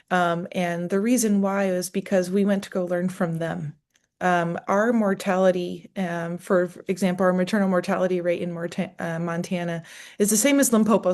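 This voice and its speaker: A woman presenter answering thoughtfully on a video call, pausing often with "um". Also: lowish voice, darkish tone. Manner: thoughtful, with many "um" pauses. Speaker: panel presenter. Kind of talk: answering a question in a webinar.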